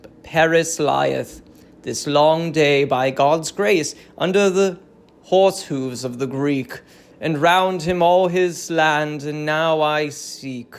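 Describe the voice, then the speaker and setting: A performer voicing a vengeful, tormented Greek king, declaiming halting archaic verse in a dramatic reading of a tragedy. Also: medium pitch, clean tone. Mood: brooding.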